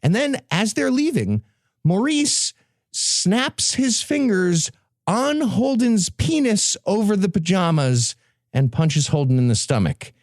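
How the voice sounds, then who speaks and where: A man delivers a sardonic, emphatic comic summary as a podcast presenter. His voice runs a little high and swings widely in pitch, captured very dry. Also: sing-song, takes it slowly, dry recording.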